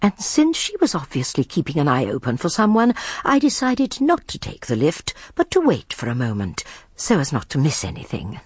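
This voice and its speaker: Genteel old lady, husky. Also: low voice, wildly swooping pitch, a little mellow, no echo, clean studio sound, exaggerated voice. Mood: quietly curious. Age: older. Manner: prim, polite, measured. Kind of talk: BBC radio drama acting and narration.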